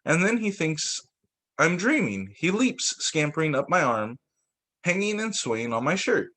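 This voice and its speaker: A man reading storybooks aloud as a teacher for young children, in an expressive way with the occasional stumble and re-read phrase. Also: on the high side, measured pace.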